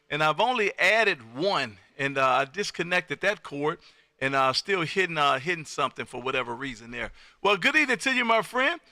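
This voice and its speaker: A man's voice: sits high, creaky, sharp tone, no room sound, clean studio sound. An American man hosting a live ham radio stream, folksy and exuberant, repeating his phrases as he chats on air. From Texas, United States. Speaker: amateur radio operator and livestream host.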